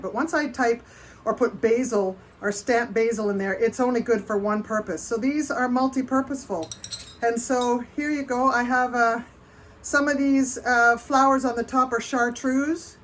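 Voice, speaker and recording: A Canadian woman with a fairly high voice hosts an informal craft livestream. She talks in a chatty, meandering way, often dropping a sentence halfway and picking up again. There is noticeable noise behind her voice. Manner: chatty and rambling, often breaking off mid-sentence. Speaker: potter hosting a livestream. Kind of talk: casual live show-and-tell of pottery.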